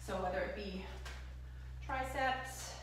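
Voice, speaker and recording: A woman's voice: medium pitch, takes it slowly, a little hiss or hum. A young woman fitness instructor giving breezy, encouraging workout tips and demonstrating a product kit in a home gym. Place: home gym room with bare walls. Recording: echoey room, voice from a distance.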